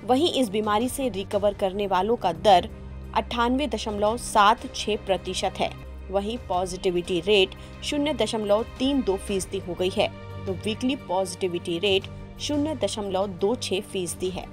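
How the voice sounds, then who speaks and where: A woman's voice: mid-range voice, unhurried. Female news presenter, mid-range voice, formal scripted bulletin read over a music bed. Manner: formal, reading out figures. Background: background music.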